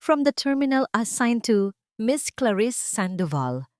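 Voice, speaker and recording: A woman's voice: fairly high pitch, very animated, measured pace, a little mellow, no echo, studio quality, pitched above the performer's own voice. A woman voicing a formal, matter-of-fact IT head reporting evidence in an audiobook drama.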